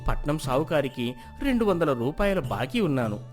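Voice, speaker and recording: A humble farmer under accusation played by a man protests and pleads. The delivery is defensive and lively, in dramatized folk-tale style, with music underneath. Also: on the high side, normal speed. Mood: pleading. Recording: music behind the voice.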